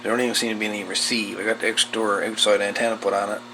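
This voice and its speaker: A man talks casually through a hands-on repair in a folksy, unhurried way. His voice sits on the low side with a gravelly creak. The recording is very noisy behind him. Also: level tone. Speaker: host of a radio repair channel. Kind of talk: casual hands-on repair walkthrough, informal chat. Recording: loud background noise with clicks.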